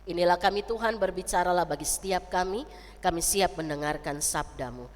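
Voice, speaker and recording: A woman's voice: fairly low pitch, brisk. A woman pastor leading a church service, her voice nasal and congested from a cold, chatting easily with the congregation. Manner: chatty with the congregation, tags lines with "ya" and "gitu kan". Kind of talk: church service prayer and sermon. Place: church sanctuary. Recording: long church hall reverb.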